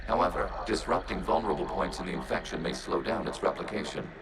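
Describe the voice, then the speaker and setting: A man playing a calm machine intelligence in a video game, speaking in measured, formal, literal phrases. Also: rumbling low, noisy background. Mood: measured, clinical.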